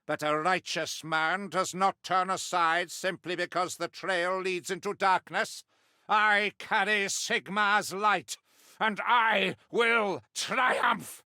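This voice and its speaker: An actor playing a zealous, righteous witch hunter in a video game, pompous and grim, with theatrical, sermonizing delivery and deliberate diction. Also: sits high, fairly even intonation, pushed and bright, no echo, studio quality, full character voice. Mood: fervent, zealous. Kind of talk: scripted dramatic video game mission briefing.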